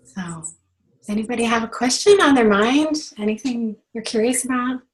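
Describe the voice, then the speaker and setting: A middle-aged woman with a hoarse voice from a cold, hosting a casual branding call and chatting with many fillers and restarts. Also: a little high, moderate pace. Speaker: branding coach hosting a clinic.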